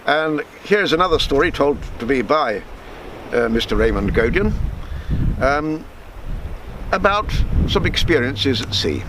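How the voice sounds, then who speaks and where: An older man reading a true sea tale aloud as a storyteller, measured, sometimes stumbling and repeating words. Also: medium pitch, sing-song, background noise. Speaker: storyteller reading his book.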